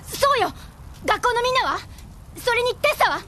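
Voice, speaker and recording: A woman playing an outspoken high school girl in an audio drama, casual and expressive. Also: moderate pace, soft background music, exaggerated voice. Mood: worried. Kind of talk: Japanese audio drama acting.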